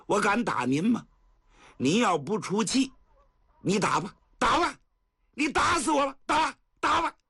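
A man's voice: very high-pitched, very animated, measured pace, gravelly, long pauses, bright, forceful tone, husky, voice pushed up, full character voice. A male storyteller voicing an arrogant young general who sneers and taunts in a raised voice. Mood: taunting.

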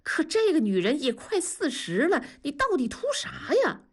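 A woman voicing a blunt, rough-talking middle-aged man, teasing and scolding his friend. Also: on the low side, sing-song, moderate pace. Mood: incredulous.

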